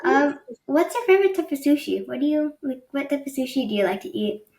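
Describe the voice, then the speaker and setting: A woman's voice: high voice, sing-song, fast talker, a little mellow. A young woman hosting a podcast interview over a video call from her bedroom, chatty and a bit hesitant, with many ums. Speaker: podcast host. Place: bedroom, indoors. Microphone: video call with laptop mic. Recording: echoey room with faint noise.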